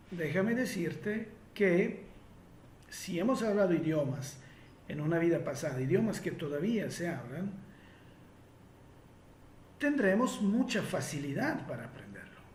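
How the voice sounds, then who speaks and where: A man's voice: on the high side, a little mellow, reverberant, a little hiss or hum. A man from Italy speaking Spanish with an Italian accent, answering listener questions in a reflective way with frequent fillers and restarts.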